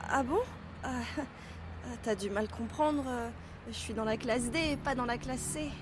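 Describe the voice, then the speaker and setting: A woman voicing a gentle, mysterious schoolgirl ghost in a French audio drama, speaking softly and evasively. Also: on the high side, measured pace, noisy background, close to an everyday voice.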